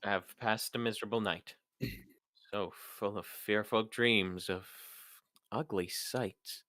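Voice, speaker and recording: A man's voice: fairly low pitch, steady tone, slow, bright, forceful tone, no echo. Haunted captive nobleman played by a young man, dry close sound. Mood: weary, troubled. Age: young adult. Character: haunted imprisoned nobleman recounting a dreadful dream. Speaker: student actor. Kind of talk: Shakespearean radio play acting.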